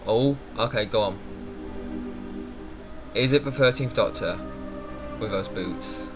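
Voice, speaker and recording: A man's voice: on the low side, slow. Chatty young man reacting at webcam. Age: young adult. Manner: chatty, exclaiming, talks over the clips. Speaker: reaction video host. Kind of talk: casual reaction commentary. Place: small bedroom with shelves of figures. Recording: loud clip music under the voice. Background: loud music and dialogue from the episode.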